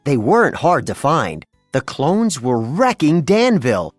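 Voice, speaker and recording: A narrator reading a children's read-along storybook in an expressive storytelling manner. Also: medium pitch, measured pace, no room sound, studio quality, faint music behind.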